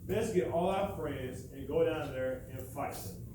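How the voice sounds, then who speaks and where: A man voicing an indignant young child who is eager for a fight, with loud, urgent exclamations in a read-aloud story. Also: on the high side, a little slow, close to an everyday voice. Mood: angry, urgent. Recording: echoey classroom, distant mic, background noise.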